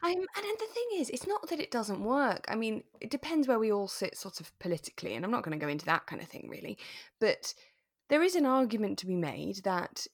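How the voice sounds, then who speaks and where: A woman co-hosting a podcast in casual, animated chat about a radio drama. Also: mid-range voice, fairly quick. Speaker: podcast co-host.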